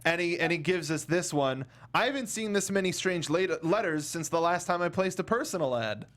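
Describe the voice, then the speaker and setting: Higher-voiced man hosting a casual panel show. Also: lively intonation, normal speed, a bit bright. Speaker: talk show host. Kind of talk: casual panel chat reviewing films.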